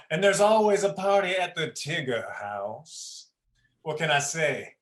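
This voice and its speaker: A man's voice: a little high, sing-song, takes it slowly, fairly bright tone, exaggerated voice. Man playing a cocky, roomy-sounding cartoonish tiger. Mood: boastful, lewd. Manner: brash and teasing, laughs at own jokes. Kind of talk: adult comedic stage play reading.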